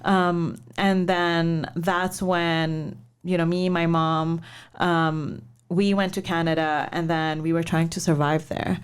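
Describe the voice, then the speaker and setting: Reflective woman from Lebanon, lowish voice. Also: level tone, clear, resonant tone. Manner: measured, reflective, many pauses and fillers. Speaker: guest, startup founder and investor. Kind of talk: interview, personal storytelling.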